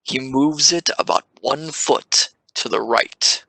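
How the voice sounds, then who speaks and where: A man's voice: medium pitch, slow, vocal fry, pushed and bright, dry recording, rough recording. A man reading scripted narration for an audio drama.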